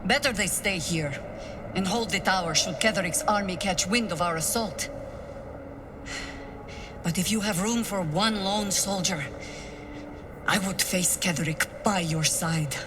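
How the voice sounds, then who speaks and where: Casting: actress for a steadfast female fantasy soldier in a game, ringing reverb and clear background noise. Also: fairly low pitch, a little slow, long pauses, close to an everyday voice. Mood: resolute. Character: resolute, loyal female soldier in a fantasy world. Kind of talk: video game voice acting. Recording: long reverb, noisy ambience.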